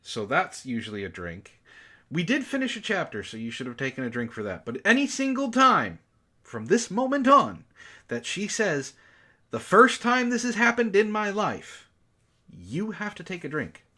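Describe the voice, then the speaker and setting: An exasperated, sarcastic host reads a story aloud in a bright, forceful voice and keeps breaking off to mock it. The delivery swings widely in pitch, with casual swearing in the commentary. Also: huge pitch swings, normal speed. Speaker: book club host reading aloud and commenting. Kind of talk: mocking dramatic reading with casual commentary.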